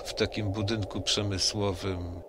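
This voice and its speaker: A man's voice: on the low side, flat delivery, measured pace. A man playing a tabletop game, thinking aloud hesitantly and checking details with the game master. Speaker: player. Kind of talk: casual tabletop play. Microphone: computer mic on a video call. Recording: noisy online session audio.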